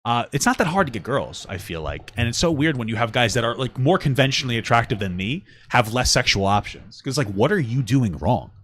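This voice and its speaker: A young man co-hosting a casual couple podcast at home, rambling and profane, often dropping into mocking high-pitched voices. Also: very animated, fairly quick, hardly any pauses, a bit bright, slight background noise. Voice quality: smooth voice. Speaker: podcast co-host. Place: home room with a fan.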